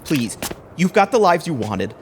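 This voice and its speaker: A man's voice: a little high, sing-song, a little slow, a little hiss or hum. A man acting in an audio play as a resentful husband turned scheming killer, with a theatrical manner. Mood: pleading, urgent. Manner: theatrical, switching from pleading to smug. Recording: music behind the voice.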